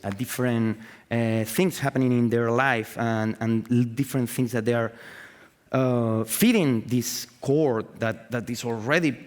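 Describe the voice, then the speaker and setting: A man with a Spanish accent speaks on stage in a big hall with long reverb. He is an artist giving an informal talk in a mid-pitched voice. His English comes haltingly as he searches for words, and he swears casually.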